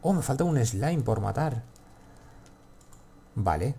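A man's voice: mid-range voice, animated, slow pace, warm tone, slightly rough. A man with a Castilian accent from Spain giving casual gameplay commentary, rambling to himself and swearing freely. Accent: Castilian Spanish from Spain. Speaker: gaming video host.

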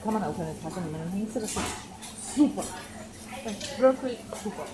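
A woman's voice: medium pitch, animated, moderate pace. A woman shopper chatting casually with a companion while shopping in a supermarket. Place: inside a busy supermarket. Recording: very noisy supermarket ambience recording. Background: loud shop noise and bustle.

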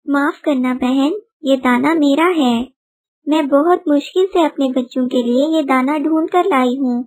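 A woman voicing a gentle, honest mother bird in a children's story, polite and pleading. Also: high voice, a little slow, voice pushed up.

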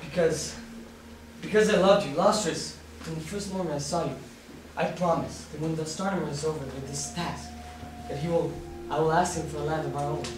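A man's voice: a little high, fairly even intonation, fairly quick, lightly acted. A young man playing an earnest, lovestruck slave in a stage play, speaking ardently. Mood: tender, pleading. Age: young adult. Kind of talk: amateur romantic stage acting. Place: small theatre stage. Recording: very echoey theatre, distant, music behind.

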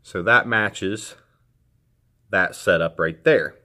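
A middle-aged man talks through a hands-on how-to in an indoor garage, picked up by the camera's own mic. His voice sits somewhat low. He keeps it relaxed and plain-spoken, restarting a phrase here and there as he goes. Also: takes it slowly. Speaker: host. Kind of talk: casual how-to walkthrough.

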